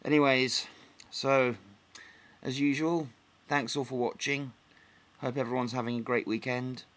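A young man from England, slightly croaky after flu, rambling through a casual solo vlog update with many ums and restarts, filmed on a close webcam in a dim living room. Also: mid-range voice, little pitch movement, measured pace, fairly bright tone. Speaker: vlogger.

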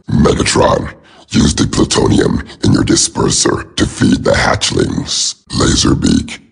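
A cold, obedient machine villain from a video game. The voice is extremely deep, gritty and robot-filtered, delivering clipped, clinical reports with no emotion. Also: male voice, vocal fry, husky, heavily acted.

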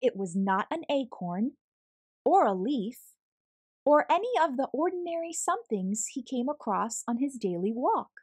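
A woman reading a children's picture book aloud in an expressive, deliberate way, pausing between phrases. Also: high voice, breathy edge, no echo, clean studio sound. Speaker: storybook reader.

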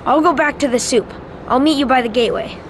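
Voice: high-pitched, fast, background noise, lightly acted. An actor playing a cautious, reluctant young companion in an audio drama, nervous and terse. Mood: wary.

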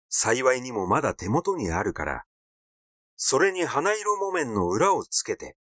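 A man reading literary fiction aloud as a narrator, in a measured storytelling delivery. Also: mid-range voice, fairly bright tone, no room sound, studio quality. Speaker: audiobook narrator.

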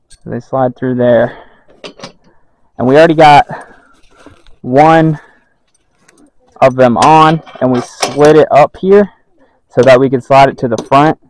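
A man calmly explaining how to mount a rooftop tent, step by step. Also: medium pitch, a little hiss or hum, poor audio. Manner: calm, methodical.

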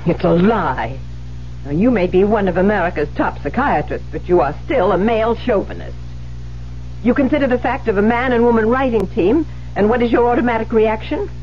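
A muffled old radio drama with audible noise. An actress plays a high-strung widowed woman writer, defensive and theatrical, her pitch swinging widely as she insists and falters. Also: animated, fairly quick, close to an everyday voice. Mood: indignant. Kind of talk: 1970s radio drama acting. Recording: muffled, noisy 1970s radio broadcast.

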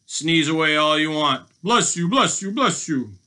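Man playing a jeering, forceful storybook robber. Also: mid-range voice, normal speed, voice pushed up, close to an everyday voice. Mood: mocking. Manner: mock-sweet taunting, emphatic. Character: jeering boastful male robber villain, gloating and bullying. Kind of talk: children's storybook voice acting.

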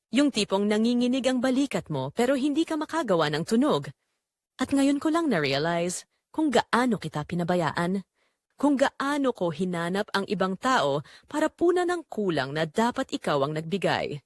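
Woman playing a guilt-ridden wife, lively confessional narration. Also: mid-range voice, no echo, clean studio sound, lightly acted. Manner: emotive, confessional. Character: guilt-ridden wife Rosa, remorseful and heartbroken. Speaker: story narrator. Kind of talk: first-person dramatic audio story narration.

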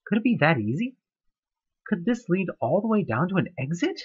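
A man tells a horror story straight to the listener, his voice swinging widely in pitch as he builds dread. His tone is slightly husky. The recording is dull and lo-fi. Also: big pitch swings, brisk. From United States. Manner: tense, dramatic storytelling with rising questions. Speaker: narrator of a fan audioplay. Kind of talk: second-person horror narration.

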